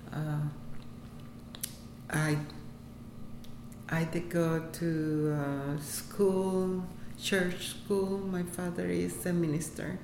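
Woman, Puerto Rican accent, native Spanish speaker, halting, echoey room, faint noise. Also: low-pitched. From Puerto Rico. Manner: halting, many fillers and restarts, laughs often. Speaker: interviewee, health center employee. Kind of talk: casual interview.

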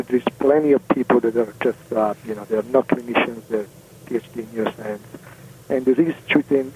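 A man from Italy with an Italian accent talks as a radio guest, halting and filling pauses with ums and uhs. He jokes now and then. A faint hiss sits under his voice. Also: medium pitch, darkish tone, low-quality recording. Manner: hesitant, many ums and uhs, joking. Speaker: guest neuroscientist. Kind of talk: radio interview. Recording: faint background noise.

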